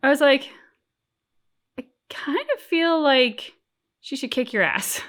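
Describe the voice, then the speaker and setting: A woman's voice: sits high, animated, slow, fairly bright tone. A woman co-hosting a reaction channel, talking casually and thoughtfully as she searches for words.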